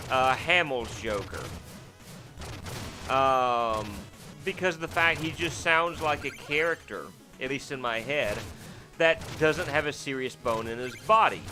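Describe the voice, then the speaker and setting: A man giving casual, rambling commentary over a game he is playing, full of fillers and restarts and with sudden startled yelps. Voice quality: fairly deep voice. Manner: rambling, filler-heavy, self-deprecating. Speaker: let's play host. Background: faint game music.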